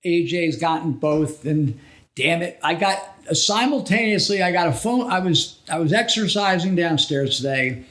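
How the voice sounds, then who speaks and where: A man co-hosting a podcast in casual, halting conversation with joking asides. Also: fairly high pitch, animated, a bit bright. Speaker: podcast co-host.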